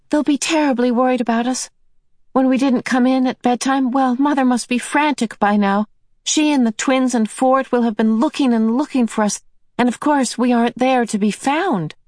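A woman's voice: high-pitched, moderate pace, a little mellow, dry recording, studio quality, voice pushed up, natural voice. A woman voicing a worried young girl pleading with the adults. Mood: anxious.

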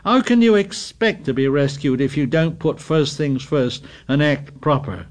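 A man's voice: a little high, animated, measured pace, voice pushed up. A man voicing an indignant, fussy schoolboy who scolds breathlessly in dialect grammar.

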